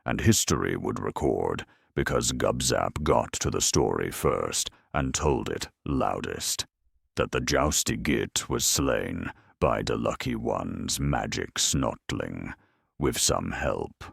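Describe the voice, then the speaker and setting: Extremely deep, gravelly male storyteller. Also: no room sound, studio quality. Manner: grave, measured storytelling with wry deadpan humour. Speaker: narrator. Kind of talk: dramatic scripted narration of a grimdark war story.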